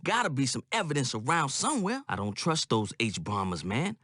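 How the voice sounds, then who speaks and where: An actor playing an excitable street informant in a video game, talking in streetwise slang. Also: male voice, medium pitch, moderate pace, dry recording, studio quality. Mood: urgent, streetwise. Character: excitable male street informant tipping off a superhero.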